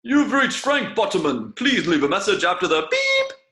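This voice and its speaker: A man's voice: sits high, wildly swooping pitch, normal speed, sharp tone, heavily acted. A man reading a genial, patronizing police chief in a table read over a video call. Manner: soothing, patronizing.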